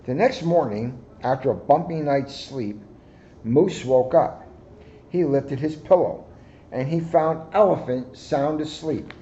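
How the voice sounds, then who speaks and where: A man reading a children's picture book aloud in a deliberate, expressive storyteller manner, with slightly muffled sound. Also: mid-range voice, slight background noise. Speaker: guest story reader, police officer.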